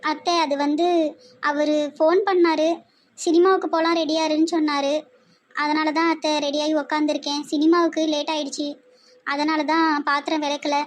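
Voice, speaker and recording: A woman's voice: sits high, little pitch movement, normal speed, close to an everyday voice. A woman voicing a naive young newlywed with a plaintive manner and a southern Tamil Nadu accent in a comedy skit. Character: whiny, naive young newlywed daughter-in-law.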